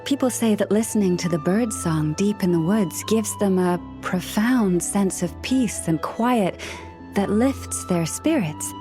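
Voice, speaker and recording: An actress playing a gentle, patient young woman who speaks with animals in a video game, polite and measured. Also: mid-range voice, over music, close to an everyday voice. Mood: confiding, explanatory.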